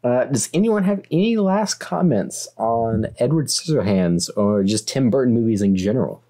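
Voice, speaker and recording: A man's voice: medium pitch, expressive, moderate pace, warm tone. A young man hosting a casual podcast who steers the talk between topics. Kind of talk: casual chat.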